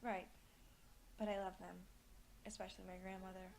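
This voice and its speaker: A woman's voice: mid-range voice, level tone, normal speed, vocal fry, a little mellow, lightly acted. A woman playing the wistful, lonely daughter of a time-travelling hero, speaking earnestly and reflectively over some background noise. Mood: guarded.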